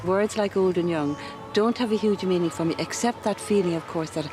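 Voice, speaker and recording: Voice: mid-range voice, fairly quick. An older person being interviewed, speaking thoughtfully and searching for words. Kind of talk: reflective interview. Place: outdoors by the sea. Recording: distant mic with loud music.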